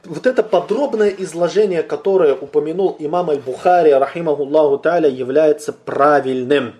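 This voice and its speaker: Mellow-voiced man teaching a religious lesson. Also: on the high side. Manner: deliberate, slows down to stress key points, asks and answers own questions; addresses listeners as brothers.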